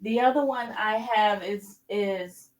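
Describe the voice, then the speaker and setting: A mature woman speaking as an interview guest in casual chat, halting and repeating words as she explains. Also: mid-range voice. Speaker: interview guest, children's author.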